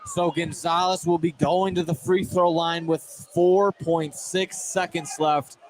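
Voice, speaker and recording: A man's voice: a little high, steady tone, a little slow. A man doing live radio play-by-play of a basketball game, often restarting and repeating words for emphasis. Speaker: play-by-play sports announcer. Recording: loud gym noise behind. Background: loud game ambience.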